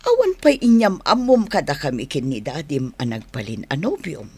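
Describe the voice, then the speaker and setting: Husky, emotional middle-aged mother. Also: deep voice, very animated, moderate pace, darkish tone, full character voice. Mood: pleading, emotional. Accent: Ilocano, northern Philippines. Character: doting mother longing for a grandchild. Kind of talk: radio drama acting.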